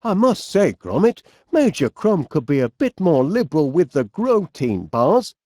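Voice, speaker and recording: A middle-aged, absent-minded inventor from an animated comedy game, with a Northern English accent. He takes his time, and his pitch bounces up and down as he chats in a fussy, polite, cheerfully bumbling way. The recording is dry and close. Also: a little slow, no room sound. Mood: chatty, mildly fretful. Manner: bumbling, hesitant, polite, chatty.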